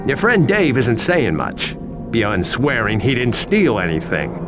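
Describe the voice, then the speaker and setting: Lively, skeptical police interrogator. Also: male voice, mid-range voice, unhurried, over loud music. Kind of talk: video game cutscene acting.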